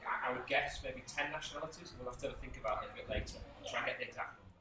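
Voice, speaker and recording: A man in his thirties from the north of England, a teacher talking casually, quick and repeating words as he thinks. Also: mid-range voice. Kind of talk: casual chat. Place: classroom, indoors. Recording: echoey classroom from a distance, noise.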